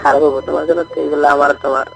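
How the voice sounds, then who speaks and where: Fast-talking creaky Bangladeshi man on phone. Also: a little high, flat delivery. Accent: Bangladeshi Bengali. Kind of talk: intimate casual phone chat. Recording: phone call recording with music behind. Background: music.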